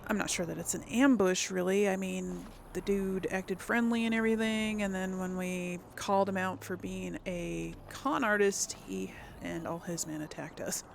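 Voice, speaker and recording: A woman's voice: mid-range voice, steady tone, moderate pace, creaky, hardly any pauses, slight background noise. A woman giving casual let's play commentary as host of a gaming video, chatty and rambling as she thinks aloud, often hesitating and correcting herself.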